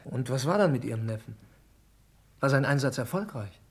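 A guilt-ridden companion in a German radio crime drama. His voice is husky and mid-pitched. He speaks tersely and hesitantly, uneasy and subdued. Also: expressive, fairly quick.

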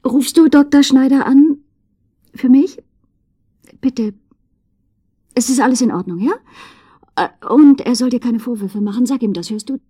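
An actress playing a fragile, pleading woman in a German radio drama, confiding softly and intimately in a half-whisper. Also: fairly high pitch, a little slow, long pauses. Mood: pleading, anxious. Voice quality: breathy, half-whispered, soft.

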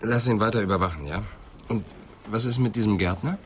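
An actor playing a serious, probing police inspector in a radio crime drama, speaking in terse questions and remarks. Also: male voice, fairly low pitch, fairly quick, a bit bright, slight background noise, close to an everyday voice. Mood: probing, intent.

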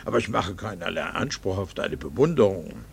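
An actor playing a cool, bitter middle-aged doctor and husband in a radio drama, speaking formally with dry irony. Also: male voice, deep voice, expressive, vocal fry, breathy edge, no echo, exaggerated voice. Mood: cold, bitter resolve. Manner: formal, measured, dryly ironic. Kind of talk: 1960s German radio drama acting.

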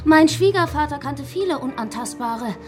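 A woman's voice: high voice, animated, moderate pace, music in the background. An actress playing a haughty, demanding, scornful bourgeois woman with an imperious manner in a radio crime drama. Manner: imperious, disdainful.

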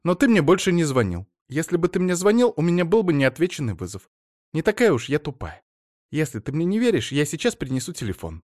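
A man voicing an excitable, flighty wife who chatters fast and breathlessly, recorded dry and close. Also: fairly high pitch, dry recording, voice pushed up. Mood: defensive.

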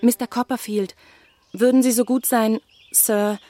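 An actress playing a deferential housemaid in a German radio play, speaking formally and courteously. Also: female voice, fairly high pitch, steady tone, unhurried, warm tone, dry recording, soft background music, natural voice. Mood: polite. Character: polite, deferential English housemaid.

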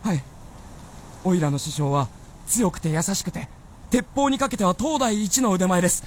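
A woman's voice: fairly low pitch, very animated, moderate pace, a little hiss or hum. A woman acting as a hot-headed, naive young hunter boy in a radio drama, blustering and stammering in rustic boyish speech. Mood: earnest.

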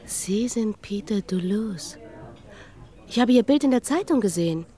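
An actress playing a sharp-tongued, world-wise former bar waitress in a German radio drama, sardonic and knowing. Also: female voice, mid-range voice, lively intonation, a little slow, natural voice. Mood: wary, knowing.

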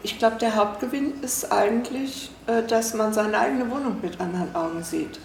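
A woman's voice: on the high side, moderate pace, creaky, darkish tone, a little hiss or hum. A woman talking casually and spontaneously, hesitant and reflective as she describes things. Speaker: hotel project guest.

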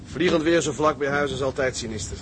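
A man's voice: medium pitch, a little fast, lightly acted. An actor playing a weary, thrifty, plain-spoken tugboat seaman in a period maritime drama. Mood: reflective. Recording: clearly noisy background ambience.

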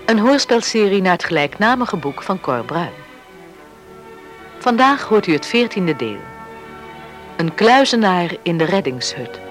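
A man announcing a radio serial from a script. His voice sits high and moves in lively swings, with loud music behind it. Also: a little slow, long pauses. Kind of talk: scripted radio drama introduction.

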